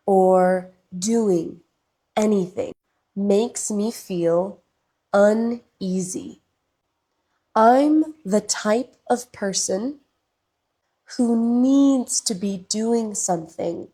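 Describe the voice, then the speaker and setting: A woman teaching English at an extremely slow, careful pace, breaking every sentence into short pieces. Her pitch sits in a middle range, and she sounds a bit congested and sick. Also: warm tone, slightly rough. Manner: very slow, short phrases with long pauses. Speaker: podcast host and English teacher. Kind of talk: slow, deliberate English lesson monologue.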